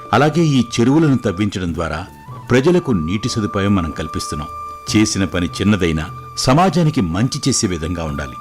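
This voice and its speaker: A man playing a calm, wise fatherly king who gives measured, reassuring moral advice in a storybook tale. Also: on the low side. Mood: serene, instructive. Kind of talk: scripted moral story dialogue.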